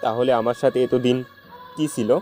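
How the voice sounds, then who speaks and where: Man acting jilted young lover, heartbroken, mellow dark tone, mid pitch, amateur love-story drama, soft music behind. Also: steady tone, normal speed, natural voice. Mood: pleading, hurt.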